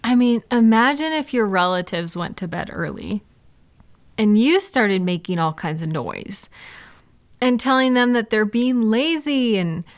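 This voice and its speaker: A woman playing a supportive, gentle female friend in an intimate, casual comfort roleplay, speaking in a reassuring, validating way. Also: a little high, no room sound, clean studio sound. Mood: sympathetic. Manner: reassuring, validating, softly indignant on listener's behalf. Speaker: audio roleplay performer.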